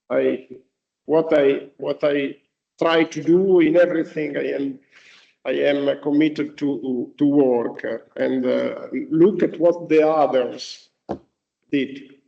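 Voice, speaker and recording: A man whose first language is Italian gives a university lecture, speaking haltingly with many restarts and fillers. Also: on the high side, vocal fry, a little mellow. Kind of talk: lecture to students. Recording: dull lo-fi recording.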